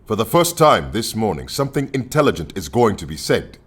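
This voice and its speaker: An actor playing an imposing, sardonic anarchist leader in a radio play, speaking with pompous condescension. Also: male voice, fairly low pitch, sing-song, fairly quick, husky. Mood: condescending.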